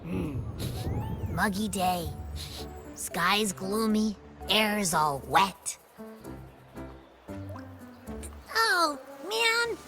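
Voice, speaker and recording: A woman's voice: medium pitch, very animated, drawn-out words, over music, full character voice. A woman voicing a small, cheeky, food-loving creature companion in a video game, chatty, playful and given to exclamations. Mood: grumbling. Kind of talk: animated video game character acting.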